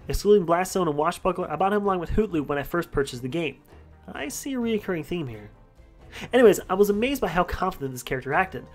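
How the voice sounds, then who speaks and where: A young man in his twenties reads a gaming countdown voice-over at a fast, eager clip. His voice sits a little high for a man. Faint game music plays beneath him. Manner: enthusiastic, brisk reading. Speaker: gaming channel host.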